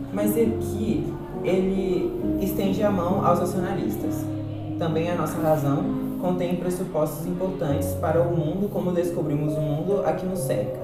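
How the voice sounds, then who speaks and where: A man's voice: high voice, lightly acted. A Brazilian man playing a patient male philosophy teacher who explains things didactically in an amateur radio drama. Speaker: actor in a student radio drama. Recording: very echoey, distant, loud music behind.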